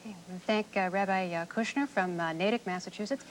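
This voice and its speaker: A woman's voice: medium pitch, fast talker. A woman hosting a television programme, interviewing and presenting to viewers, on an older TV recording. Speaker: TV host and interviewer. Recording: faint noise, older TV recording.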